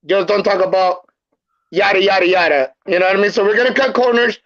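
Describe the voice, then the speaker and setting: A man's voice: high voice, fast talker, a bit bright. A man from El Salvador, a podcast guest telling his life story casually, using street slang and repeating phrases. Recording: muffled lo-fi sound.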